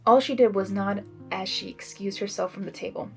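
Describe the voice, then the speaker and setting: A woman reads a story aloud and adds expressive, rambling casual reactions. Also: mid-range voice, brisk, vocal fry, faint music behind. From Hungary. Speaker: fan fiction reader and commentator. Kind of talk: blind reading with casual reaction commentary.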